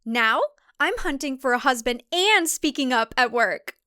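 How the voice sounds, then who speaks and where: An eerily cheerful female devotee, voiced by a woman narrator, high and crisp. She sounds doll-like and rehearsed, chipper in the manner of a comic thriller audiobook character. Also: animated, measured pace, bright, forceful tone, no room sound, clean studio sound, full character voice. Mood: rehearsed pride.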